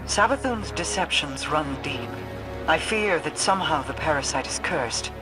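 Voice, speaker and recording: A woman voicing a regal, secretive queen in a video game, speaking in a measured, formal, commanding way over loud orchestral music. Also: low-pitched, expressive, poor audio. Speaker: queen guiding the player. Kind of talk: video game cutscene dialogue. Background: loud orchestral game score.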